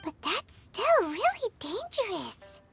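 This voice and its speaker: A tiny childlike fairy from a video game, extremely high in pitch and unhurried. She is naive and curious, forever questioning, with prominent music behind her. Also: sing-song, gravelly, fairly bright tone, heavily acted. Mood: concerned, curious. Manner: speaks of self in third person, inquisitive. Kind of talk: scripted video game dialogue.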